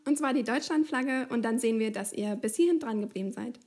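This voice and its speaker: A woman's voice: fairly high pitch, a little fast, darkish tone. A woman co-hosting a podcast, wrapping up with chatty calls to action for listeners. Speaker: podcast co-host. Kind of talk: podcast outro.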